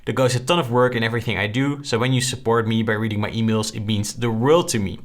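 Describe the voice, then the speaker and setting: A man's voice: mid-range voice, steady tone, quick pace. A young man with a Dutch accent, chatting casually and upbeat through a screen-share tutorial, often tagging "right?" onto his points. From Netherlands. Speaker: online course creator.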